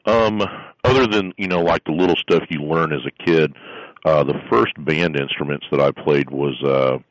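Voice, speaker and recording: A middle-aged man from South Florida with a very deep bass voice, telling stories in a casual interview with lots of fillers and restarts. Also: normal speed, dry recording, highs cut off. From South Florida, USA. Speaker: guest, strength coach and musician.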